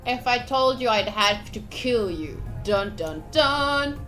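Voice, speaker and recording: A woman with a fairly high voice streams a visual novel. She reads the text aloud expressively and chats casually, with an excitable, playfully dramatic way of talking. Game music plays behind her. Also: takes it slowly. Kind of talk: livestream playthrough, reading game text aloud with casual commentary.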